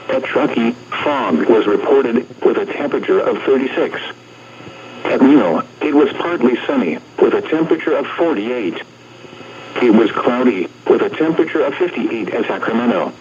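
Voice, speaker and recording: A synthetic man's voice reading a scripted weather report as an automated radio announcer, in a flat, formulaic manner. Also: medium pitch. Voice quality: synthetic text-to-speech voice. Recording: noisy, dull lo-fi weather radio broadcast.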